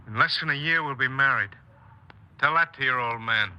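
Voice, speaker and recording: A man's voice: medium pitch, normal speed, bright, forceful tone. An actor playing a cold, embittered young man confessing his crimes in a 1950s radio crime drama, speaking tersely and guardedly. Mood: cold, curt. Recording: noisy, muffled 1950s radio broadcast.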